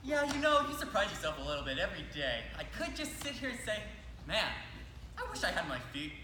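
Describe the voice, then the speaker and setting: A man's voice: high-pitched, wildly swooping pitch, fairly quick. A man acting in a comic stage play as a cheerful zombie-attack victim who shrugs off his injuries in a projected, casual way. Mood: unbothered, chipper. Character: upbeat, unbothered young zombie-attack victim, deadpan about lost legs. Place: live stage in a hall. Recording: echoey, very noisy stage recording.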